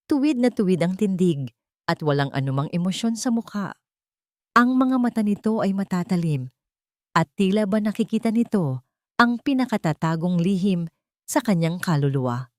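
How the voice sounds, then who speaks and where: A woman's voice: medium pitch, animated, normal speed, no echo, clean studio sound. A woman narrator reading a romantic drama in a scripted, dramatic storytelling style.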